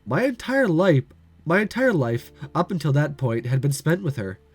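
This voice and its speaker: A man's voice: on the high side, big pitch swings, normal speed, husky, soft background music, exaggerated voice. A man reading the part of an easygoing, unashamed young man in a casual, plain way.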